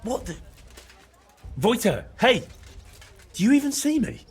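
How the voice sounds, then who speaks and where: An actor playing a practical young adventurer in a video game, speaking in a direct, wry way. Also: male voice, very high-pitched, very animated, unhurried, slightly rough, exaggerated voice. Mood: concerned, wry. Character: plain-spoken, practical young male adventurer. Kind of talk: naturalistic video game dialogue.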